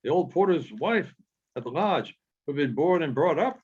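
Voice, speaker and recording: A man reading literary narration aloud as an audiobook reader, in a measured storytelling manner with halting phrasing. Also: fairly high pitch, expressive, a little mellow, slightly rough, dull audio.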